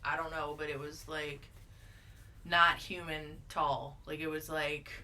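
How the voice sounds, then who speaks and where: Someone playing a male rural Bigfoot eyewitness in an improvised comic interview, deadpan, hesitant and put-upon. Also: medium pitch, measured pace, slight background noise, voice pushed down.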